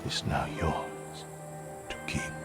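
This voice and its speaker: A man's voice: takes it slowly, lightly acted. A man voicing an ancient, fading sword spirit in a video game, solemn, grave and formal. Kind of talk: dramatic game voice acting. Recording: dramatic music under the voice.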